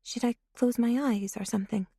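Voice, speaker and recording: A woman's voice: on the high side, steady tone, brisk, vocal fry, mellow, husky, no room sound, clean studio sound, voice pushed up. A woman voicing a timid, hesitant teenage girl in otter makeup. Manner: hesitant, nervous.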